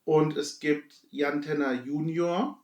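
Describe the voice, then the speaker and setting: Creaky-voiced middle aged guy podcasting, stops n starts, roomy sound, bit far off the mic. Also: on the high side, steady tone, takes it slowly, a little mellow. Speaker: podcast host. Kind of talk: casual nostalgic chat among friends. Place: echoey room, away from the mic.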